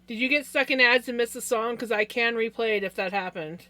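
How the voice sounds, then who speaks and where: A woman with a naturally loud voice hosting a livestream, talking casually in a chatty, self-deprecating way. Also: a little high, a little fast. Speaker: live stream host.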